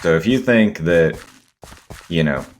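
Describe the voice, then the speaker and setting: A man with a hoarse, scratchy voice from a sore throat, rambling with many ums and self-corrections as he comments on his gameplay. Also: low voice, expressive, a little slow. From Seattle area, US. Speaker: gaming video host.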